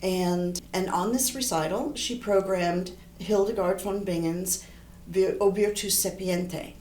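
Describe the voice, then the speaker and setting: A middle-aged woman, a horn player and composer, talking in a relaxed, thoughtful interview and often restarting her sentences. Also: measured pace, reverberant, a little hiss or hum. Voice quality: low voice. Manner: thoughtful, rambling, frequent restarts.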